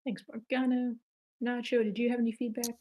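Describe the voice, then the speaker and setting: Female host, fairly high and soft-toned, casually moderating a discussion. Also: flat delivery, a little fast.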